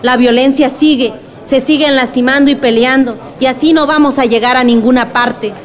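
A woman's voice: high-pitched, fairly even intonation, normal speed, natural voice. A woman playing a resolute villager speaking up for her community in a radio drama, earnest and insistent. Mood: urgent, firm.

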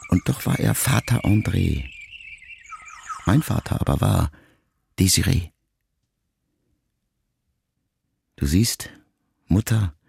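A grieving grown son in a literary radio play. The man's voice sits very deep, with a gravelly fry in it. He speaks reflectively and intimately, setting out each thought with deliberate pauses. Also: darkish tone, slight background noise. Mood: reflective, tender. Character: grieving grown son, a writer, addressing his dying mother.

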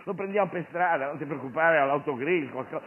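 A man's voice: fairly high pitch, quick pace, pitched above the performer's own voice, natural voice. Man as evasive coaxing father, tinny recording. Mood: coaxing, offhand. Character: falsely reassuring, evasive father soothing his son. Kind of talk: comic stage storytelling. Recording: lo-fi radio broadcast with clear noise.

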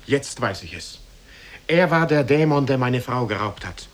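An actor in a 1950s radio play playing a naive, remorseful young husband, earnest and theatrical. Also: male voice, mid-range voice, animated, normal speed, a little hiss or hum, lightly acted. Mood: distraught.

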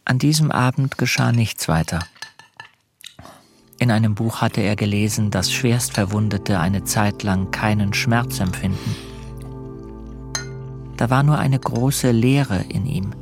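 A male narrator reads literary prose in a radio play. His voice is low and creaky, and music plays clearly behind him. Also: measured pace, long pauses.

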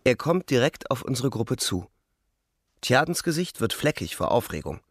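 An actor playing a young soldier who narrates a war radio play in terse, measured, reflective short sentences. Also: male voice, medium pitch, big pitch swings, breathy edge, no echo, clean studio sound. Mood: tense, observant. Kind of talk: literary radio play narration, first person present tense. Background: music.

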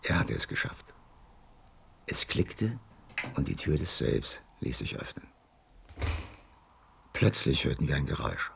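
Very deep, gravelly male storyteller. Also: very animated, measured pace, pauses often, fairly bright tone, a little hiss or hum, exaggerated voice. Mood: tense narrating. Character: Holmes's anxious loyal companion, narrating their nighttime burglary. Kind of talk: radio drama narration and dialogue.

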